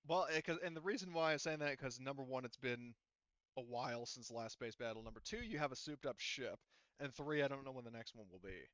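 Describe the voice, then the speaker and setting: A man's voice: medium pitch, fairly quick, fairly bright tone, smooth, dry recording, lo-fi sound. A man running a tabletop roleplaying session as game master, talking conversationally and often searching for his words.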